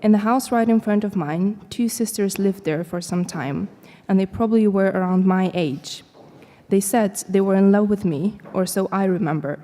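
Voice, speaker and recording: Woman student reading prose aloud, even and measured, warm tone, lecture-hall reverb. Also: medium pitch.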